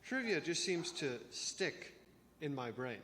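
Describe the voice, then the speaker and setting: Young male reader as teenage trivia buff, slightly high voice, informative, echoing hall. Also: big pitch swings, takes it slowly, pushed and bright, exaggerated voice. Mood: eager, informative. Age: teenager or young adult. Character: bookish teenage boy who loves trivia. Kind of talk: church reader's theater. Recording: echoey hall with background noise.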